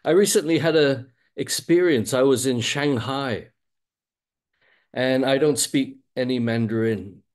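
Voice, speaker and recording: A man's voice: medium pitch, a little slow, darkish tone. A man speaking as a guest on a video call, telling an easygoing, wry anecdote. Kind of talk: casual anecdote.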